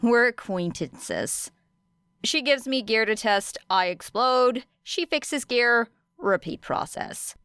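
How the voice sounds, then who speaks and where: Brief: woman narrator playing a sarcastic, scheming schoolgirl for audiobook dialogue; crisp, dry close sound. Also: on the high side, expressive, slow pace, a bit bright, no room sound, pitched above the performer's own voice, exaggerated voice. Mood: matter-of-fact. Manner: sarcastic, blunt. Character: brash, teasing, scheming teenage girl student.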